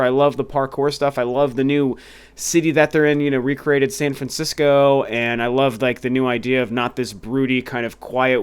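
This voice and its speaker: A man's voice: mid-range voice, clean tone. A young man hosting a video game review channel, talking casually and enthusiastically with frequent restarts while a little stuffy and nasal from a cold. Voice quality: slightly nasal. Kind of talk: casual solo talk to camera.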